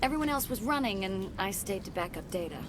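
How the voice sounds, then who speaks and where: A woman's voice: medium pitch, lively intonation, fast talker, background noise, natural voice. An actress playing a frightened young female research assistant in a video game, speaking nervously with stammers. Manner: nervous, hesitant.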